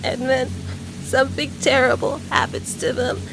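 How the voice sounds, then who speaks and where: A woman's voice: high-pitched, little pitch movement, gravelly, background noise. A woman voicing a frightened young boy who stammers and repeats himself in a horror audio drama. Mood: worried. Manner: halting, stammering, repeating words. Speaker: voice actor.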